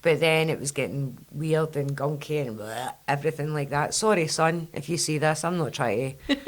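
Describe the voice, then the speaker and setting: A low, husky-voiced Scottish woman hosts a casual podcast chat. She tells stories with animated energy, swears freely, and her voice sits a little low for a woman. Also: moderate pace. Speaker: podcast host.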